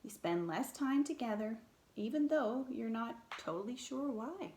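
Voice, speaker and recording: A woman reading a children's picture book aloud in an expressive, theatrical way, with dramatic pauses and emphasis. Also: fairly high pitch, reverberant, a little hiss or hum.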